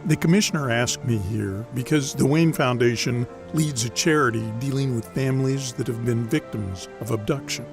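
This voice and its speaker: An actor playing a composed, courteous philanthropist hero in an audio drama, speaking formally. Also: male voice, medium pitch, normal speed, hardly any pauses, music in the background, close to an everyday voice. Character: stern male vigilante and courteous philanthropist.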